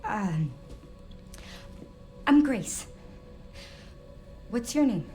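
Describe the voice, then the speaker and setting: A woman playing a nervous, gentle young policewoman in a horror game, halting and stammering as she talks. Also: on the high side, sing-song, soft background music, exaggerated voice.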